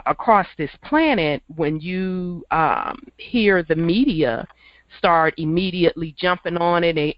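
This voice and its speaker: Man, hoarse radio host, easygoing folksy chat, muffled dry sound. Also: on the high side, no echo. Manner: laid-back, chuckling, folksy. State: hoarse from a cold. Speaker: host of a call-in internet radio show. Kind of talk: casual call-in radio chat.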